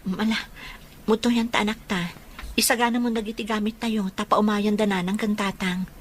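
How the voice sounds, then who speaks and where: A mid-pitched actress as a devout young wife and mother in a radio drama. She sounds hopeful and caring, over faint background noise. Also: little pitch movement, fairly quick, close to an everyday voice. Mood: hopeful, tender.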